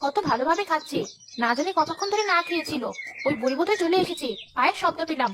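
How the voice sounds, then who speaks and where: Woman voicing a very high, quick motherly ghost. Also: lively intonation, noisy background. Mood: fretful, muttering. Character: young female village ghost, gruff but motherly, protective. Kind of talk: Bengali cartoon voice acting.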